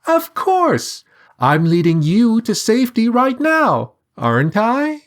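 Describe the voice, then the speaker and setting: A man voicing a sly, coaxing talking bird with a high sing-song voice in a storybook reading. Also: huge pitch swings, takes it slowly, heavily acted.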